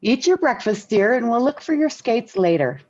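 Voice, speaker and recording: A woman's voice: a little high, big pitch swings, normal speed, darkish tone. A woman playing a patient, soothing housewife and mother in a 1940s radio sitcom. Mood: brisk. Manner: placating, motherly. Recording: dull 1940s radio recording.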